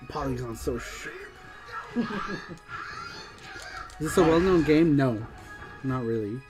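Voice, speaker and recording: A woman's voice: rumbling low, lively intonation, slow. A woman hosting a casual gameplay stream, reading viewer chat aloud, laughing and swearing at the game. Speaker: streamer and show host. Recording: game music playing behind the voice. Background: music.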